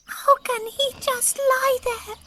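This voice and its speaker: Man voicing a tiny, squeaky frightened goat kid. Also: flat delivery, slow, sharp tone, exaggerated voice. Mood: frightened, trembling. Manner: trembling, plaintive. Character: small frightened young goat kid and anxious mother goat.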